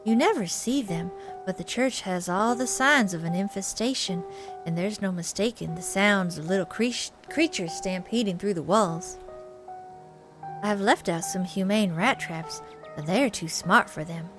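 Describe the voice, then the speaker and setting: A woman voicing a mild, earnest male pastor in a visual novel, gentle, wordy and prim, with music behind. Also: medium pitch, a little slow, natural voice. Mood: worried, earnest. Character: mild, earnest male small-town pastor, fretful and devout.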